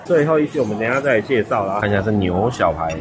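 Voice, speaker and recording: A man's voice: on the low side, lively intonation, rapid-fire. A man with a Taiwanese Mandarin accent, chatting casually as he walks through a busy hotel buffet and lists the dishes one by one, often adding little tags like "ha" and "a". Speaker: food vlogger. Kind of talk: casual restaurant walkthrough. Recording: noisy restaurant background.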